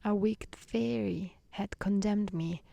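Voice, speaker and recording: A woman voicing a grateful, courteous young fairy-tale prince in a breathy, hushed voice. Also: medium pitch, takes it slowly. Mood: grateful, earnest.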